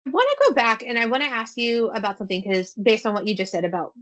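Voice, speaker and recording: A woman's voice: fairly high pitch, fast, dull audio. Chatty woman podcast host. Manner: casual, chatty, relaxed phrasing. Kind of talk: conversational interview questions.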